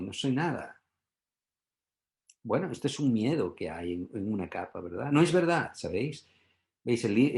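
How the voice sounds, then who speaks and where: Low-pitched man with a creaky voice. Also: darkish tone. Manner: didactic, punctuates with rhetorical check-in questions. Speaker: guest expert, psychotherapy trainer and author. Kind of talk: explanatory talk answering a question.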